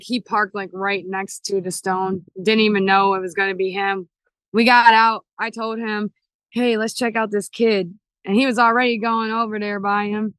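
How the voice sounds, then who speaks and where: A woman's voice: medium pitch, a bit bright, no room sound, low-quality recording. A woman, a paranormal investigator, telling a story in loose, chatty speech with lots of fillers. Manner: loose, filler-heavy, laughs easily. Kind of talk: casual storytelling chat.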